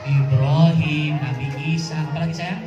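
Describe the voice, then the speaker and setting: A woman's voice: low-pitched, steady tone, moderate pace, lo-fi sound. A woman telling a religious story to children, asking her listeners questions and repeating key words. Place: outdoor mosque yard event over loudspeakers. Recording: echoey PA, distant, with crowd and music.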